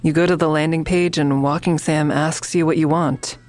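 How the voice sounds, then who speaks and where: An actress playing a wry, persistent amateur investigator in an audio drama, speaking in a matter-of-fact, persuasive way. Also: female voice, sits low, steady tone, moderate pace, a little mellow, natural voice. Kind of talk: naturalistic audio drama dialogue.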